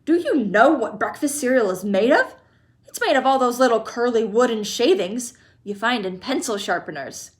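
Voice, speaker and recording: A woman's voice: a little high, expressive, normal speed, close to an everyday voice. A woman voicing an eccentric, fussy male chocolatier with a theatrical, exclamatory way of talking. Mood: excitable.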